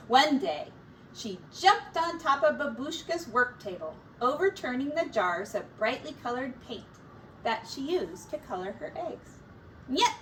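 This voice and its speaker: A woman reading a children's picture book aloud as a teacher, in a deliberate, expressive storytelling manner. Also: high voice. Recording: distant mic with faint background noise.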